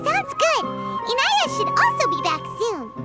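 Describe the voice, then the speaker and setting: An actress voicing a small, excitable girlish companion in a game cutscene, talking in a bubbly, exclamatory way. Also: very high voice, a little slow, exaggerated voice. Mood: lively. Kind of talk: video game cutscene dialogue. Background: loud game soundtrack music.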